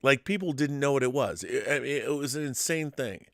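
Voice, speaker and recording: A man talks in a casual fan discussion as a co-host. His voice sits at a middle pitch and he stresses points emphatically by repeating words. The sound is dry and free of room reflections. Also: expressive, brisk, creaky, dry recording. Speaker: co-host of a pop culture discussion show. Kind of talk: casual fan debate about films and TV.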